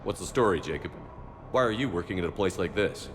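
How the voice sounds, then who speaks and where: Sci-fi game lead: stern, resolute commander, low-pitched and clipped, in an echoey hall over loud noise. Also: male voice, animated, fairly quick. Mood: probing, familiar. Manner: terse, authoritative. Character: stern, determined male military commander in a sci-fi war. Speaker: game protagonist. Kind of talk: cinematic video game dialogue.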